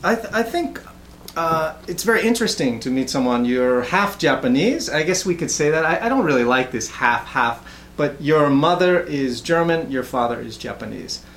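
A man's voice: a little high, expressive, normal speed, fairly bright tone, echoey room, a little hiss or hum. A man hosting a casual interview show, talking hesitantly with many uhs and restarts. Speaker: show host.

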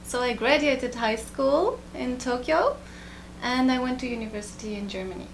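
A woman from Japan, a guest in an interview, speaking in a thoughtful, soft-spoken way with frequent pauses. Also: high voice, slight background noise, low-quality recording. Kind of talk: relaxed interview conversation.

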